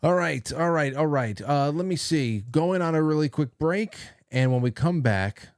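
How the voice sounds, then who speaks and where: A man's voice: a little high, animated, moderate pace, darkish tone, no room sound, clean studio sound. A man hosting a casual solo show, chatting informally in a loose, rambling way. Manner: informal, rambling, lightly profane. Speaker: talk show host. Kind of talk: casual solo livestream chat with ad read.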